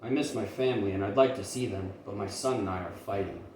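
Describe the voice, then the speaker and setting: A man voicing a kindly, regretful old male neighbor in a storybook, low, subdued and gentle. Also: quick pace, lightly acted. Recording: roomy, distant mic, faint noise.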